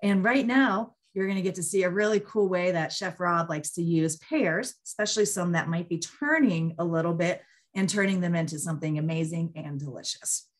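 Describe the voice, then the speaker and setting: A woman's voice: on the low side, moderate pace. A woman hosting an online group call, presenting in an informal, conversational webinar style. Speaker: host of an online monthly call.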